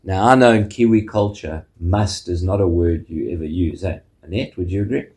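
A man with a South African accent preaching a sermon as pastor, measured, often ending points with a questioning "eh?". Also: deep voice, darkish tone.

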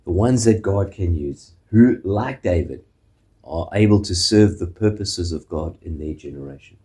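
A man with a South African accent preaching a sermon as pastor, measured, often ending points with a questioning "eh?". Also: low voice, expressive, warm tone.

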